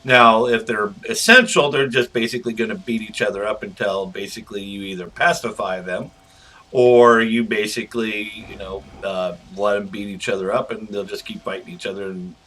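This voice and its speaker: Bright, forceful-voiced man reviewing a game. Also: fairly low pitch, steady tone, normal speed, rough recording. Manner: rambling, informal, chatty asides. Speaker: game reviewer and channel host. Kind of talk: casual gameplay commentary.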